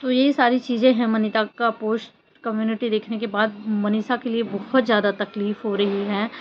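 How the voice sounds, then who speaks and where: A woman vlogger giving casual opinion commentary in an emphatic, conversational way with rhetorical questions. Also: mid-range voice. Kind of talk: casual opinion commentary on social media drama. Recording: dull lo-fi audio with background noise.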